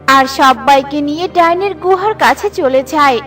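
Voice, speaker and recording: A woman's voice: sits high, a little slow, a bit bright, soft background music. A woman storyteller giving scripted narration for a children's cartoon.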